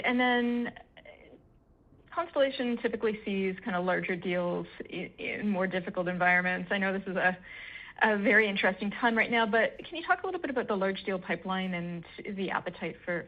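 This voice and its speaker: Female analyst, mid-pitched voice, narrow phone-line sound, asking questions on a conference call. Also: brisk. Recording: thin, tinny phone line.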